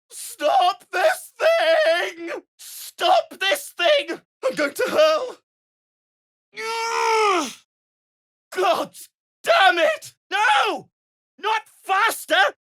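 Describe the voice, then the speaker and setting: Proud, grumbling male gnome, video game role. Formal, wry, indignant, unhurried, big pitch swings. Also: pushed and bright, full character voice. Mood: panicked, outraged. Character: proud, grumbling deep gnome man, sardonic and loyal. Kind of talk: scripted video game character lines.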